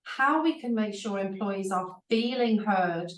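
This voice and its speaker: A woman's voice: medium pitch, expressive, a little slow. A woman executive speaking as a panel guest, explaining her company's approach fluently with the odd "um". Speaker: chief people officer, panel guest. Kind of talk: conversational panel talk. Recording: echoey room, distant mic.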